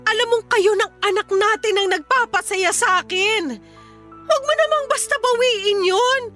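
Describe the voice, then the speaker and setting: A woman's voice: very high-pitched, moderate pace, bright, forceful tone, faint music behind. A woman acting a hurt, pleading young mother who bitterly accuses her partner in a Filipino radio drama. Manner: emotional, reproachful, confrontational.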